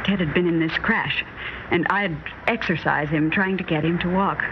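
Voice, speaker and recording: A woman plays a guarded, wistful American wife in an old radio drama, speaking earnestly with quiet worry. Her voice comes through a muffled recording with clear noise. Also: lowish voice, normal speed, lightly acted. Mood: wistful, worried. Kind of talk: vintage radio drama acting.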